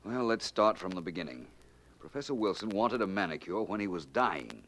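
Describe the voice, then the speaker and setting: Old radio sleuth, brisk and wry, lowish voice, really punchy and sharp. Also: male voice, lively intonation. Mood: puzzled, brisk. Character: male amateur detective, brisk, self-assured, wry. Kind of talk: 1940s radio drama acting.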